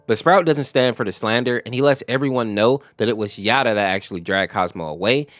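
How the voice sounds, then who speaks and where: Guy doing a lore explainer, lowish voice, chatty scripted recap, close dry mic. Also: fairly bright tone, no echo. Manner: explanatory, addresses viewers directly. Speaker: YouTube lore explainer host. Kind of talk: conversational scripted narration, recapping a story.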